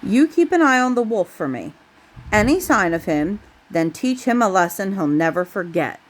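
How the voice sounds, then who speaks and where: A woman's voice: medium pitch, sing-song, moderate pace, rough recording. Lady reading a firm farmer guy from a kids storybook, bossing his dogs. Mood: stern. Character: stern male farmer giving orders to his sheepdogs.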